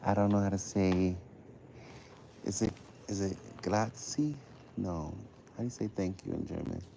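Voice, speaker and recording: A man's voice: low-pitched, measured pace, creaky, dark tone. A man livestreaming casually from a public building, chatting to viewers in blunt, slangy, profane talk. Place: public library building, on the move. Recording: noisy live handheld stream.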